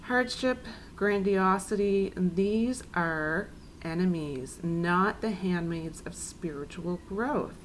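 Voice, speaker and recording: Woman, tarot reader, hoarse and raspy today; easygoing, gentle delivery over audible background noise. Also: lowish voice, slow. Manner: soothing, chatty, addresses viewers as guys. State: raspy, clearing throat. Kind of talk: casual spiritual reading.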